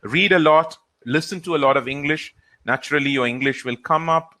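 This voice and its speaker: A man from India, a trainer answering audience questions in a live session, relaxed and halting with frequent fillers. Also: on the high side. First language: Hindi or Gujarati.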